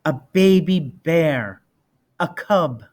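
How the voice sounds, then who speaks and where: A man's voice: a little high, expressive, drawn-out words, darkish tone. A man voicing a patient parent who explains things while telling a child a bedtime story. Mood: explaining.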